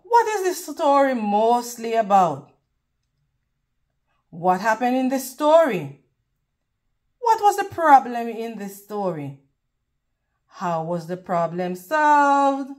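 Slow, higher-pitched woman reading to children. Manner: teacherly, prompting children with questions. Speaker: read-aloud host. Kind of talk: children's picture book read-aloud with questions to listeners.

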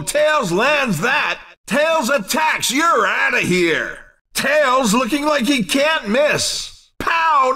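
A man playing a cheeky, excitable race commentator in a video game, calling the action in punchy, playful exclamations. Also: extremely high pitch, distant mic, full character voice. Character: hyped-up cheeky male racing game announcer. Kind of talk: excited arcade racing commentary.

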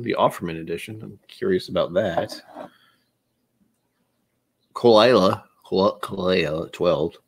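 A man in his thirties chatting casually on a home livestream, rambling and self-mocking, sometimes trying out joke accents. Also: on the low side. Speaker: streamer. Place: home room with shelves of bottles.

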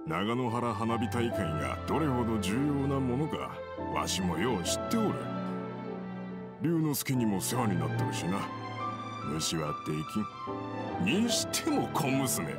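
Anime game role: gruff elderly craftsman, scolding and curt, very varied intonation. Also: on the low side, big pitch swings, unhurried, loud music behind the voice. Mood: grudging, scolding. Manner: curt, scolding, old-fashioned speech. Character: stern, gruff, grumbling old master swordsmith.

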